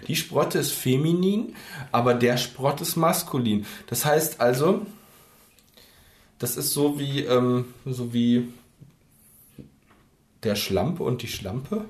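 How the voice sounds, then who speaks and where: A man co-hosting a casual podcast chat who rambles, thinking aloud with many fillers. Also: medium pitch. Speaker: podcast co-host. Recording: roomy echo.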